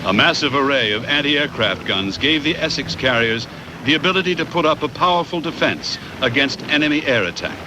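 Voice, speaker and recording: A man reads scripted documentary narration in a measured, factual way. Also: medium pitch, animated, noisy background.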